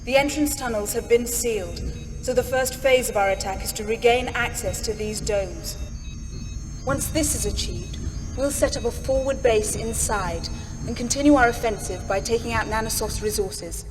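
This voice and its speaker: A resolute female commander played by an actress addresses her force in a game cutscene. Her fairly high voice is formal and composed, ringing with long hall reverb over clear music. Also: normal speed, lightly acted. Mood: businesslike, firm.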